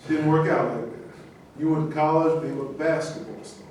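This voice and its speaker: A man's voice: a little high, fairly even intonation, normal speed, darkish tone, close to an everyday voice. A man in a staged reading, playing a self-assured, contrary optimist. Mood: firm. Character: self-assured, contrary optimist who insists he's a winner. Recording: echoey room from far off, faint noise.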